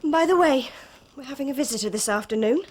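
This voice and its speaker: Secretive young lady, confiding, high pitch. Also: expressive, fast, a little mellow, a little hiss or hum. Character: quiet, secretive young woman, calm and resolved.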